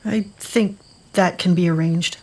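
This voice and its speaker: A woman's voice: fairly low pitch, steady tone, unhurried, darkish tone, a little hiss or hum. An actress playing a wary, bitter woman in an audio drama, speaking in a pointed, guarded way. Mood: suspicious.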